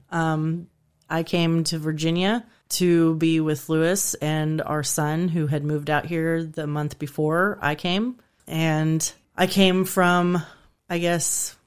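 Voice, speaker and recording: Middle-aged woman from Kansas, low smooth voice, soft hesitant testimony. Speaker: guest sharing her testimony. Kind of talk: personal testimony on radio.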